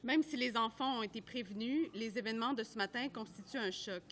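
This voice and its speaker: A woman reading a report aloud at a public event, in a measured, careful way that sometimes trips over names. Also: on the high side, a bit bright. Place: art gallery at a live event.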